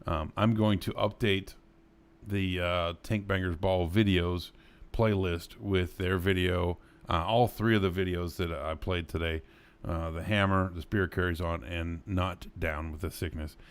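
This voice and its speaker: Deep-voiced man hosting a casual stream. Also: steady tone, measured pace. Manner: rambling, with many fillers. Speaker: host of a live music showcase stream. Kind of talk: casual live-stream chat.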